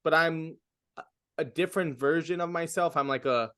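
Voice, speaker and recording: Reflective young man, halting speech. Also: a little high, a bit bright, clean tone, no echo, studio quality. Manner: reflective, halting, full of fillers and restarts. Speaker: comedian. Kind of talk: casual interview.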